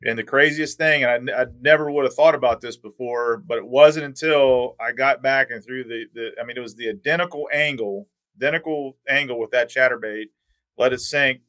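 A man's voice: mid-range voice, a little fast, fairly bright tone, no echo, rough recording. Middle-aged man, dry close sound. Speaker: podcast guest, tournament angler. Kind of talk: casual storytelling chat. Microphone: video call.

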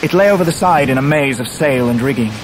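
A male actor as an earnest English gentleman recalling his life in a radio drama. His telling is measured and vivid, at a middling pitch, with clear rushing noise behind it. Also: expressive, natural voice. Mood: vivid, urgent. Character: grown David Copperfield, earnest grieving male narrator. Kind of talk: dramatic literary narration in a radio play. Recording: noisy surf and wind behind voice.

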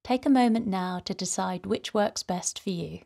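A woman hosting a guided meditation for children, speaking in a gentle, soothing, softly breathy way. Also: mid-range voice, normal speed. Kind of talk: guided relaxation narration for children.

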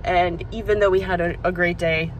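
A woman's voice: fairly low pitch, fairly even intonation, normal speed, a little hiss or hum, rough recording. Chatty young woman filming herself in a car. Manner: chatty, candid, talks to her dog. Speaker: vlogger. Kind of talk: casual vlog chat. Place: car interior and outdoor beach. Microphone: phone camera at arm's length.

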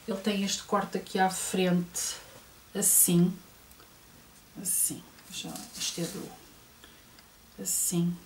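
A middle-aged woman with a European Portuguese accent talks slowly through a clothing haul, full of hesitations and little asides to her viewers. She is picked up by a camera across a bedroom, so her voice sounds distant and roomy. A faint hiss sits under it. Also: mid-range voice. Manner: chatty, hesitant, many fillers, addresses viewers. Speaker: fashion vlogger. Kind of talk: casual clothing haul show-and-tell. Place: bedroom at home, indoors. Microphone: camera across the room.

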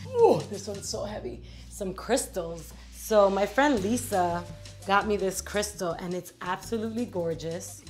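Chatty woman giving a house tour. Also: mid-range voice. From New York. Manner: chatty, playful, drawn-out asides. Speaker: vlog host. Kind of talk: casual house tour vlog. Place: bright home interior, rooms of a house.

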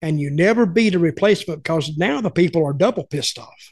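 A man's voice: fairly high pitch, animated, fairly quick, darkish tone. An older man telling rambling, folksy stories as a podcast host on a video call from home. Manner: rambling, folksy, repeats words. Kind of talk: casual storytelling chat. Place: home room with lamp. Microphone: headphones, webcam video call.

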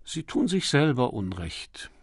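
A man's voice: medium pitch, sing-song, a little slow, breathy edge, dry recording, studio quality, exaggerated voice. A man voicing a patient, confident aristocratic amateur detective who questions courteously and coaxingly in an audiobook.

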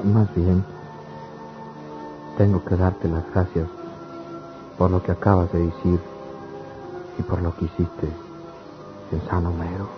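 A man acting a heartbroken young rural lover in a radio melodrama, halting and pleading. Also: low voice. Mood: humble, grateful. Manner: halting, repeats words, pleading. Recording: dull lo-fi radio drama, loud music.

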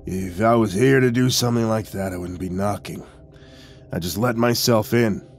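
A man acts out a heavyset hitman from an audiobook, low and gruff. He mutters and growls in lazy slang, a casual sports junkie who can't be bothered to hurry. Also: moderate pace, faint music behind, close to an everyday voice. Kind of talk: audiobook character voice.

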